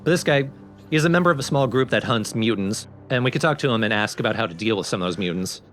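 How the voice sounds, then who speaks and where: A man's voice: on the low side, expressive, fast talker, fairly bright tone, soft background music. A man hosting a let's play with casual, explanatory game commentary.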